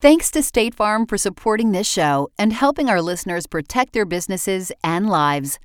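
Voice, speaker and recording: A woman's voice: mid-range voice, lively intonation, moderate pace, over music. A woman reading a scripted advertisement as an announcer. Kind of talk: scripted sponsor read.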